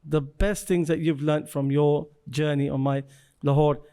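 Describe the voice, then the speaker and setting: Encouraging podcast host, fairly high voice. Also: male voice, measured pace. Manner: encouraging, with steady "yeah" affirmations. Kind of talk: podcast interview.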